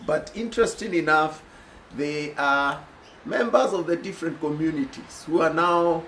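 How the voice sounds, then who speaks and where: Reflective Kenyan man, slightly high voice. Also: a bit bright, slight background noise. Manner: thoughtful, reflective, many pauses with "uh". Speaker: commentator. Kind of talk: personal commentary to camera.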